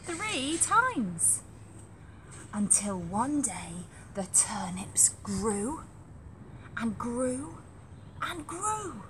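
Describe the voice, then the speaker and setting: High voiced lady reading a kids story, super expressive and up and down, noisy recording. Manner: animated, deliberate, with repeated emphatic phrases. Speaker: nursery storyteller. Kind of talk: expressive read-aloud storytelling for young children.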